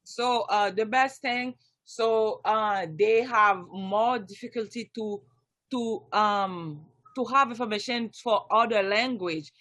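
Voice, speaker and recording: A woman with a Haitian Creole accent, whose first language is Haitian Creole, speaking in an online community meeting. She talks haltingly, with many pauses and restarts. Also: fairly high pitch, fairly bright tone. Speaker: community outreach volunteer.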